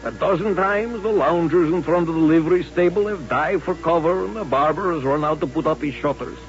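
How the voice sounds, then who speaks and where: An actor playing a folksy western storyteller, narrating a radio drama in a measured, vivid, dramatic way. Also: male voice, on the high side, faint music behind, natural voice. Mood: suspenseful. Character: folksy western storyteller recalling frontier showdowns. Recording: muffled old radio recording.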